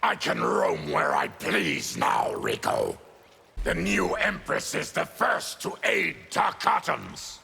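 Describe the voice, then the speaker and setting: An actor playing a fierce, proud, embittered mutant warrior in a fighting game, growling and deliberate. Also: male voice, on the high side, huge pitch swings, bright, forceful tone, heavily acted. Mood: fierce, defiant. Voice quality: gravelly, growling. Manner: menacing, deliberate. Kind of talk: fighting game character dialogue.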